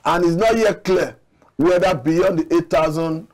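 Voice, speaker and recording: A middle-aged man speaks as a guest analyst in a TV studio, his voice sitting somewhat high. He builds measured, argumentative points and sometimes restarts a phrase. It is picked up close on a lapel mic. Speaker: public affairs analyst guest. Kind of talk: studio TV discussion. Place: TV studio set.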